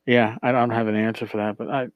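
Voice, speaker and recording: A man hosting a podcast, talking casually as he answers listener voicemails in a halting, word-searching way with repeats. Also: fairly low pitch, little pitch movement, no echo, highs cut off. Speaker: podcast host.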